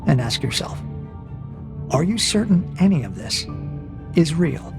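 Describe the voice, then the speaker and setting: A man voicing a cold AI interrogator in a science fiction audio drama, measured and formal, needling with calm, mocking questions. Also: on the high side, gravelly, music in the background, full character voice. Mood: calm, ominous.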